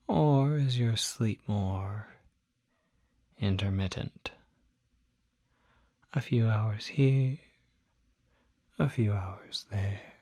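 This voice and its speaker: A calm demon doctor acted out by an ASMR performer speaks very slowly in a soft, dark-toned murmur that often slips into a whisper. The questions are gentle and deliberate, with pauses between phrases, in a close, dry ASMR roleplay. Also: medium pitch, breathy edge, no room sound, full character voice. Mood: patient, probing. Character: calm, attentive demon doctor treating a patient.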